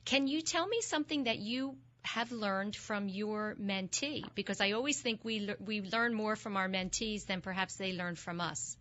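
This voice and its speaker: Bright-voiced woman hosting an interview. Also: mid-range voice, normal speed, hardly any pauses, dull audio. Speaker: host. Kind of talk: interview conversation.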